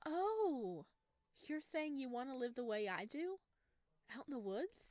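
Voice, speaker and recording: A woman plays a shy young male forest creature from a visual novel game. He is earnest and eager, stammering over tender words in a fairly high voice, recorded close and dry. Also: big pitch swings, unhurried, darkish tone, no echo. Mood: curious.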